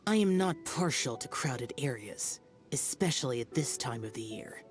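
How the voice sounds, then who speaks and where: A man's voice: mid-range voice, animated, normal speed, close to an everyday voice. A man voicing an aloof young warrior spirit, curt, formal and detached, over game music. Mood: cold. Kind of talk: video game voice acting. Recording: game soundtrack under the voice.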